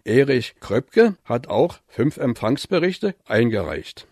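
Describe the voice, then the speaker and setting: A man's voice: medium pitch, big pitch swings, takes it slowly, a little mellow, no room sound, studio quality. A man presenting listener mail on radio, reading from a script in a formal, list-like manner.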